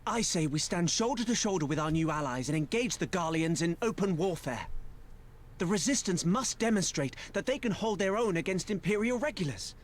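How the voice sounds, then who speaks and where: A confident young game officer with a high voice. Formal, persuasive, earnest. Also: male voice, lively intonation, a little fast. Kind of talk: dramatic game cutscene acting.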